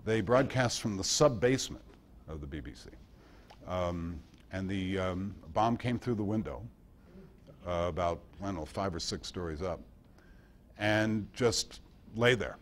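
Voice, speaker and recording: A man giving an informal history lecture at a club meeting in a halting manner, recorded by an amateur. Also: sits low. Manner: halting, with pauses and quoted passages read aloud. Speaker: guest speaker at a club meeting.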